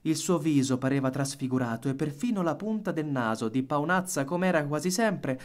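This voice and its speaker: A man reading a children's story aloud as an expressive audiobook narrator. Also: fairly high pitch, brisk.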